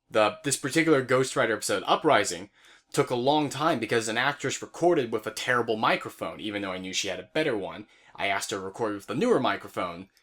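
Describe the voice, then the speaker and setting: A man's voice: mid-range voice, a bit bright. A young adult man, the creator of an online series, explains his process step by step in an informal, casual update. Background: background music.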